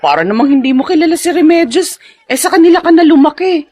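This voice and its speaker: A lively, sing-song market vendor. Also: female voice, high voice, quick pace. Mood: teasing, friendly. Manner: teasing, breezy, familiar. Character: chatty, good-natured market vendor and mother. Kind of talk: Filipino radio-style drama acting.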